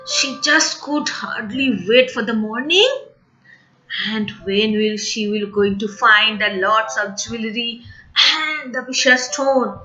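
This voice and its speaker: A woman's voice: fairly high pitch, takes it slowly, bright, forceful tone. A woman telling a folk tale to children in a dramatic way, repeating phrases and addressing her listeners directly. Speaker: children's storyteller. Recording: dull lo-fi audio with music behind. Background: music.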